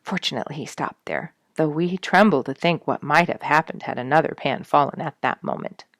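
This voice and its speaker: Low-voiced woman reading a story, dry recording. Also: fairly quick, fairly bright tone, dry recording. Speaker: storyteller reading an audiobook chapter. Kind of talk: expressive storytelling narration of a nature book.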